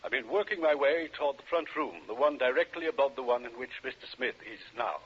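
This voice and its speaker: An actor playing a solemn, self-important psychic doctor who hunts ghosts, speaking gravely in formal phrases in 1940s radio style. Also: male voice, medium pitch, animated, fast. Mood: intent, formal. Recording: muffled 1940s radio recording.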